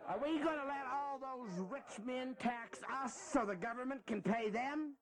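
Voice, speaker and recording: An actor playing a fiery rebel leader in a historical audio drama, rallying poor farmers with rousing rhetorical questions. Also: male voice, very high-pitched, measured pace. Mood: defiant, rousing. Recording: loud crowd around the voice.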